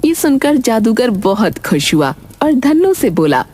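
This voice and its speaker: A woman's voice: a little high, sing-song, a little slow, a little mellow, a little hiss or hum. A woman delivering scripted storytelling narration for a fairy tale.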